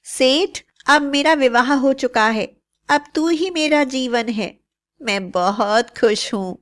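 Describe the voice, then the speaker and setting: A woman as a scheming young bride, high-pitched. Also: takes it slowly, a bit bright. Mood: coaxing, sweet. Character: young bride, sweet-talking, scheming, scornful. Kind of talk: melodramatic cartoon story acting.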